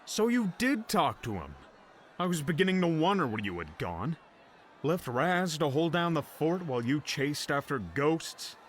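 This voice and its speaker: A man's voice: on the high side, big pitch swings, unhurried, a little hiss or hum. An actor playing a smug, menacing older man who leads a mob, taunting and condescending, in an audiobook drama. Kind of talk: audiobook drama villain acting.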